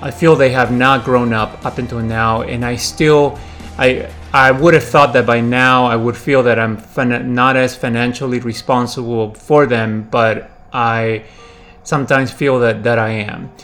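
A man in his forties from Peru, hosting a podcast in a reflective, halting way with many fillers and restarts. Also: medium pitch, steady tone, fairly bright tone, faint music behind. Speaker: podcast host. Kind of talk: casual solo podcast monologue.

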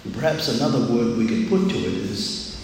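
An older man with a loud voice preaches a sermon in a measured, deliberate manner with frequent pauses. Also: mid-range voice. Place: large echoey room, speaking to a congregation. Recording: very echoey hall, clear background noise.

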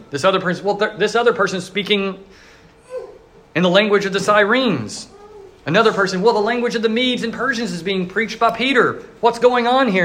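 A man, a pastor, preaching a sermon in an earnest storytelling way, now and then voicing the crowd in quick asides. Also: high voice, fairly bright tone, a little hiss or hum.

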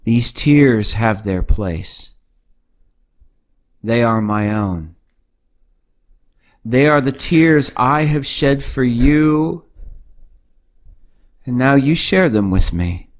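A man playing God as a gentle, loving deity in a devotional audio drama, speaking with calm, soothing assurance. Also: mid-range voice, drawn-out words, pauses often. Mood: tender. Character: gentle, loving male God, patient and tender.

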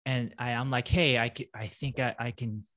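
A man's voice: mid-range voice, steady tone, normal speed, fairly bright tone. A man hosting a casual podcast interview, talking with many fillers and restarts. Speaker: podcast host.

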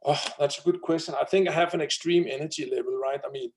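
Thirties male founder, Danish accent, lively guest energy, slightly higher voice. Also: quick pace, a little hiss or hum. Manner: energetic, many fillers and restarts. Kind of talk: conversational interview.

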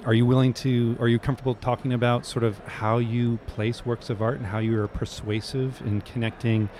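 A man's voice: medium pitch, flat delivery, fairly quick, a little mellow, a little hiss or hum. A man who is an artist, interviewing a guest with loose, rambling questions full of fillers. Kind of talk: casual interview.

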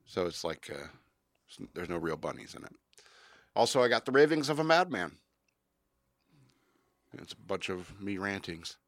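Deep gravelly podcast host. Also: male voice, sing-song, normal speed, pauses often, dry recording, studio quality. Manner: rambling, jokey, self-promoting. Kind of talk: casual podcast banter.

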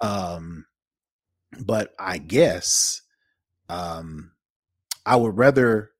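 A man's voice: fairly low pitch, no echo, clean studio sound. A man co-hosting a tech podcast in casual chat, explaining things informally. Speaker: podcast co-host.

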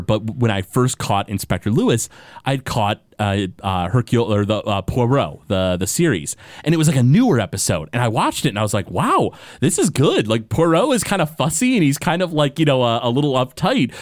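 A man's voice: medium pitch, dry recording, studio quality. A man co-hosting a podcast in casual chat, teasing his partner playfully and rambling with many fillers. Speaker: podcast co-host.

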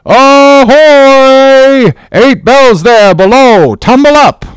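A man's voice: very high voice, extremely slow, smooth, dry recording, clean studio sound, pitched above the performer's own voice, exaggerated voice. A man doing a bellowing young sailor. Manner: bellowing, shouting orders. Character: loud bossy young whaling sailor calling the watch.

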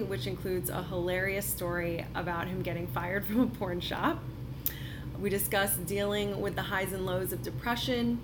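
Upbeat woman podcast host, noisy background. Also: medium pitch. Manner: upbeat, enthusiastic, laughs often. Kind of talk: scripted intro then casual interview.

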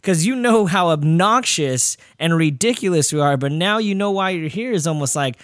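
A male podcast host with a fairly high-pitched voice, recorded dry with little room sound. He chats in slang, excitable and informal, bantering through a casual interview. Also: moderate pace, no room sound.

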